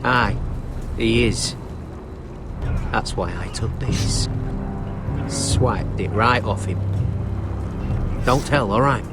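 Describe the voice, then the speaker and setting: An actor playing a cheeky, sly young recruit and petty thief in a video game, with blunt, rustic speech. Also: male voice, fairly low pitch, drawn-out words, long pauses. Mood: sly, defiant. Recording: loud music under the voice.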